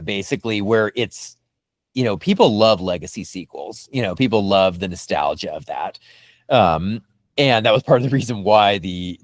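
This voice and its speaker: A man's voice: on the low side, dry recording, clean studio sound. A man co-hosting a podcast in casual, speculative discussion, rambling with lots of "you know" and "like". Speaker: podcast co-host.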